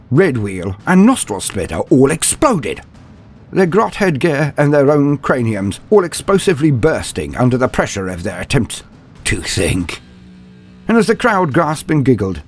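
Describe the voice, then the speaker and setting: A man narrating a scripted fantasy story in a grandiose, theatrical manner, with wry, deliberate pauses. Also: mid-range voice, breathy edge. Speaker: narrator of fan fiction lore. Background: background music.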